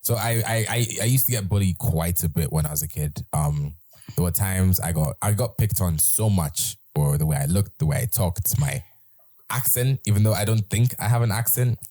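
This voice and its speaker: A young man with a British accent and a very low, mellow voice tells a story from his life in an easygoing, rambling way. He restarts his sentences often, and the sound is close and dry. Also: lively intonation, moderate pace, dry recording. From Britain. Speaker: host. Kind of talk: casual storytelling chat.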